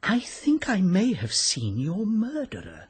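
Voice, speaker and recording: English family chemist, older, chatty and fussy, radio drama; very animated, rather high husky voice. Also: male voice, a little slow, full character voice. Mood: eager, confiding. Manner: fussy, precise, hesitant openings. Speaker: actor.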